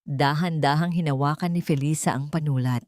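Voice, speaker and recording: Low-pitched woman narrating a dramatic story. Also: steady tone, fairly quick, a little mellow, no room sound.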